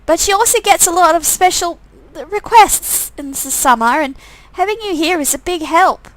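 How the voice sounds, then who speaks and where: A woman's voice: extremely high pitch, lively intonation, moderate pace, dry recording, low-quality recording. A woman voicing a caring but evasive young shop worker in a visual novel game, earnest and defensive. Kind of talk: acted visual novel dialogue.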